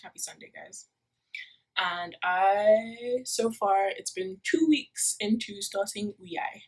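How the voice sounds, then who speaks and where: A woman's voice: mid-range voice, slow, low-quality recording. A young woman from Nigeria, a university student, rambling through a casual vlog with lots of fillers, recorded on a phone taped to the wall of her room. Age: young adult. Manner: rambling, many fillers and self-corrections.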